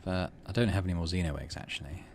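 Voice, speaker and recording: A man's voice: low voice, fast, a little mellow, a little hiss or hum. A man doing casual game commentary, thinking aloud and reading game text out as the host of a gaming channel.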